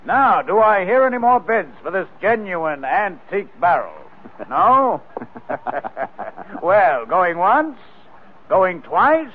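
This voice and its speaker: A thin, noisy vintage radio recording carries a ruthless male crime boss. His fairly high voice moves around freely as he gives cool orders with a dark, joking edge. It is classic 1940s radio drama villainy. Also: big pitch swings, takes it slowly, pauses often, phone-quality sound, full character voice. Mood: gloating. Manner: cool, commanding, darkly joking. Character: ruthless male crime boss running a crooked auction room.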